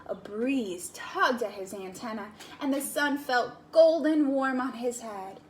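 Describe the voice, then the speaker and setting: A woman reading a picture book aloud to a child in slow, expressive narration. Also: a little high, slight background noise. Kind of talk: storybook read-aloud.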